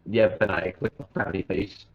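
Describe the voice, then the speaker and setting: Male tabletop player, lowish voice, relaxed chat, muffled and crackly voice-chat audio. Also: normal speed, vocal fry, crowd noise. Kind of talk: casual chat. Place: online voice call. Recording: dull, crackly, noisy voice-chat connection.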